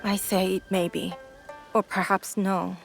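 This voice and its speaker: An actress playing a calm, devout female shrine priestess in a game cutscene, measured and solemn. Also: medium pitch, creaky. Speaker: game character. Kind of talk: video game cutscene acting. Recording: game audio with music.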